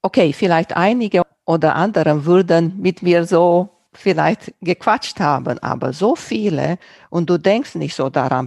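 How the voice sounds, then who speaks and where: A woman's voice: fairly low pitch, darkish tone, dry recording, clean studio sound. A woman with a Romanian accent who speaks German as a second language, chatting casually in an interview about her podcast, in loose sentences that search for words. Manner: loose, searching sentences with non-native grammar. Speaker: podcast host, guest on another podcast.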